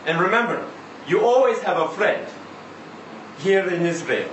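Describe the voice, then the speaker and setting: A man reading a short story aloud as a chatty, insistent Israeli taxi driver, halting and conversational, full of rhetorical questions and tags. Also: high-pitched, expressive, fairly bright tone. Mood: wistful, warm. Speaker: reader at a live literary event. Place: indoor live reading event. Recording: roomy live venue, distant mic, faint noise.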